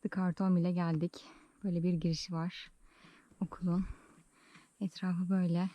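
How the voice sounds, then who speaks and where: A woman's voice: on the low side, flat delivery, normal speed, dark tone, no room sound. A woman vlogger chatting casually and playfully to the camera and her companion, with a giggly manner. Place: mostly outdoors at a village campsite.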